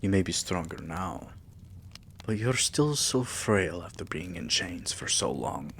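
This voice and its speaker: A man playing a tender, possessive vampire king in an intimate roleplay ASMR, speaking softly and breathily with courtly endearments and often dropping into a whisper. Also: low-pitched, animated, a little slow, a little hiss or hum, heavily acted. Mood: gentle, curious. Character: tender, possessive male vampire king doting on his human pet.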